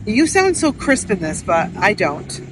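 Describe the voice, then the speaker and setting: A young woman co-hosting a casual chat podcast, animated and quick to laugh as she tells stories. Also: mid-range voice. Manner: chatty, animated storyteller who laughs often. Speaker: podcast co-host. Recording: noisy background hiss.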